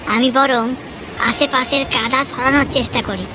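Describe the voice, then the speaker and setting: Woman voicing a humble village man, raised pitch. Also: fairly even intonation, unhurried, background noise. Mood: humble, helpful. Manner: deferential, polite. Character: humble, honest poor village labourer man, eager to help. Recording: music behind the voice.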